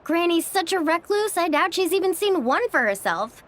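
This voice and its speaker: A woman playing a breezy, playful young travel guide in an animated video game. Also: extremely high pitch, moderate pace, very noisy. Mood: amused.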